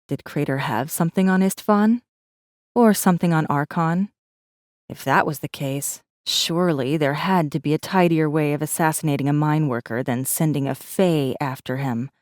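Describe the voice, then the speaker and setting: A woman reading a first-person story as an audiobook narrator, with measured, dramatic delivery. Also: sits low, darkish tone, no room sound, studio quality.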